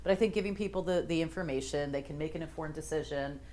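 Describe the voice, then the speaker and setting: A woman with a lowish voice offers her view at a seminar, hedging as she goes and leaning on fillers. Her delivery is thoughtful and unhurried. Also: darkish tone, slight background noise. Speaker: co-presenter at a seminar. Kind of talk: seminar talk.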